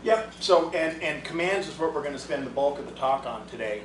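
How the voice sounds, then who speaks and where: A man with a slightly high voice runs a relaxed, chatty training session, often restarting his sentences. He stands well away from the microphone in an echoey meeting room. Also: a little fast, crowd noise. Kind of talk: casual training talk. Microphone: room mic, far from the speaker. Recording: echoey room recorded from far off.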